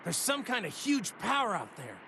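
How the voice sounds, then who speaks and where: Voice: mid-range voice, very animated, normal speed, gravelly, sharp tone, slight background noise, full character voice. An actor voicing a cheerful, naive martial arts hero in an anime video game, talking casually over music. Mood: casual, curious. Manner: naive, casual, good-natured. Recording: music behind the voice.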